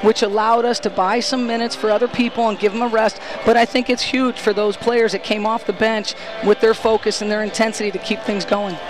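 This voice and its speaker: A woman's voice: fairly high pitch, level tone, fairly quick, hardly any pauses, over music. A middle-aged woman basketball coach giving a postgame interview on a headset mic in an empty gym, talking emphatically and earnestly with frequent restarts. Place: empty college gym after a game.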